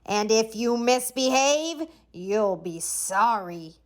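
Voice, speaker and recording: A woman voicing a mean, stern substitute teacher with a slow, curt, hissing manner in a storybook. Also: on the high side, lively intonation. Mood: menacing, stern.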